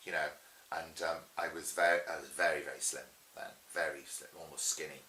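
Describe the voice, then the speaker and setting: A man's voice: a bass voice, steady tone, fairly quick, sharp tone. A middle-aged man telling anecdotes in an interview as a pantomime performer, chatty, theatrical and emphatic. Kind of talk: animated anecdotal interview talk. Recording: roomy echo with faint hiss.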